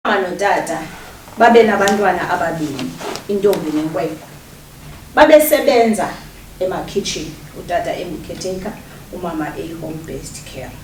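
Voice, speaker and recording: A woman's voice: lowish voice, lively intonation, normal speed, natural voice. A South African woman acting as a solemn storyteller in theatrical narration of a family's loss. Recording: echoey hall, distant mic, faint noise.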